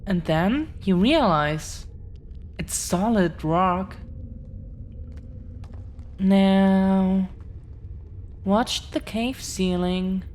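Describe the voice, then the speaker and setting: A woman playing an affectionate, earnest girlfriend of dragon descent in an intimate roleplay audio, speaking gently with lingering pauses. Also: lowish voice, slight background noise. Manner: gentle, hesitant, lingering pauses between phrases.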